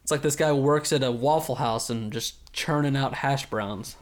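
A man's voice: mid-range voice, normal speed. A man co-hosting a casual anime recap show, retelling scenes with energy and joking asides. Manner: animated recapping, puts on mock villain voices. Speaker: podcast co-host.

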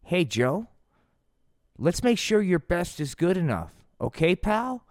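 Man doing a pushy, higher-pitched slangy Jesus puppet. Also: big pitch swings, takes it slowly, no echo, clean studio sound, heavily acted. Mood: pushy, threatening. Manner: commanding, slangy, badgering.

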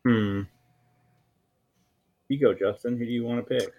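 Man with medium pitch, casual. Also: steady tone, unhurried, dull audio. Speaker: podcast panelist. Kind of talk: casual chat.

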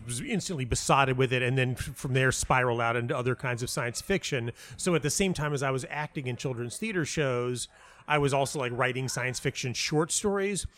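An American man in a relaxed, reflective interview conversation. He talks as a guest telling stories, circling back, repeating words and breaking off mid-phrase. His voice sits at an ordinary middle pitch for a man. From United States (North Carolina). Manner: halting, self-interrupting, rambling storyteller with repeated words. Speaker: interview guest, playwright and audio dramatist. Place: hotel lobby.

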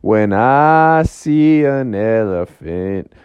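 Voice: mid-range voice, animated, drawn-out words, clean tone, no room sound, dull audio, pitched below the performer's own voice. A storyteller voicing a boastful male frog who calls himself a fearsome wrestling champion, in a bedtime story told to a child. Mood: awed. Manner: swaggering, bragging.

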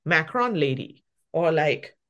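Guest: middle-aged woman from Malaysia, pastry chef, candid and reflective, quick to laugh. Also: low-pitched, animated, fairly bright tone, lo-fi sound. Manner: reflective, laughs often while telling her story. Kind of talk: casual interview conversation.

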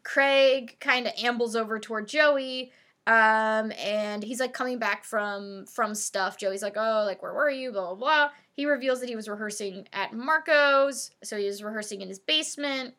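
A woman in her thirties chats on a podcast in a bright, clear voice, circling her points with plenty of "like" and "um". She talks loosely and casually, as if with friends. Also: on the high side, moderate pace. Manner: rambling, filler-heavy, lots of "like". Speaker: podcast co-host.

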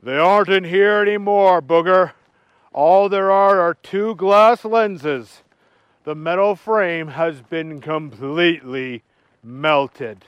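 A man voicing a stern, exasperated middle-aged male fast-food manager who scolds his worker. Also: sits high, clear, resonant tone, no echo, dull audio. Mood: calm.

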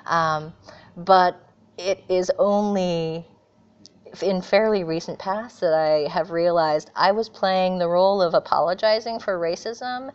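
A middle-aged American woman from California, answering interview questions earnestly and thoughtfully, pausing often with fillers. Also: fairly low pitch, smooth. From California, USA. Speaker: guest. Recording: dull lo-fi remote audio.

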